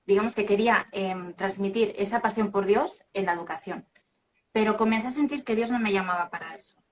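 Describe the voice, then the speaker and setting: Woman guest, thirties, earnest and reflective, middle pitch. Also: a little fast. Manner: earnest, reflective, with hesitant restarts. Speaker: guest, lay evangelist. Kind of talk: personal testimony in conversation.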